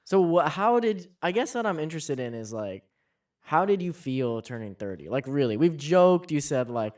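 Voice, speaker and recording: A man's voice: on the high side, very animated, moderate pace, a little mellow, far from the mic. A man in his thirties chatting casually as a podcast co-host, musing with frequent restarts. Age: 30s. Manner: musing, talks in starts and restarts. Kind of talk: casual chat.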